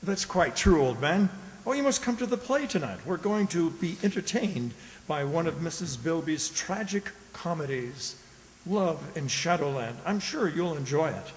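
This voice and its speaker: An actor as a genteel gentleman of a radio play, condescending and quietly menacing. His voice is fairly high and precise, set in a reverberant hall with faint hiss. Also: animated, moderate pace, close to an everyday voice. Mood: genteel, affable. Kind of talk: theatrical radio drama acting. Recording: echoey hall, hissy background noise.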